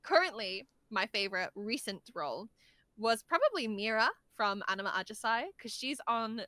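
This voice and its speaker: A woman's voice: on the high side, lively intonation, normal speed, pushed and bright, no echo. A woman chatting casually as a podcast guest, playful and giggly, often rambling and hesitating as she works out what to say. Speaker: voice actor guest being interviewed.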